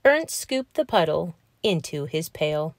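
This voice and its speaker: Unhurried, lively woman reading a storybook. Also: sits low, no room sound, clean studio sound. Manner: animated, emphatic storytelling delivery. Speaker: children's book reader. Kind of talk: expressive storybook read-aloud.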